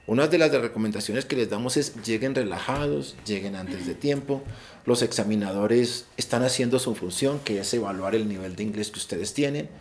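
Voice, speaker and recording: A man's voice: on the low side, normal speed, slight background noise. A man with a Colombian accent advising students on an English exam in a virtual session, explaining rules and repeating key points. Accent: Colombian Spanish. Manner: explanatory, repeats and restarts words, stresses key advice. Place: online session.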